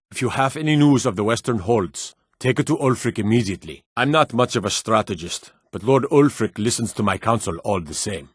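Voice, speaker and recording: An actor playing a weathered, plain-spoken Nordic guard and townsman in a fantasy video game, gruff and dutiful, with a measured, weary manner. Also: on the low side, no echo, studio quality, close to an everyday voice. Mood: weary, matter-of-fact.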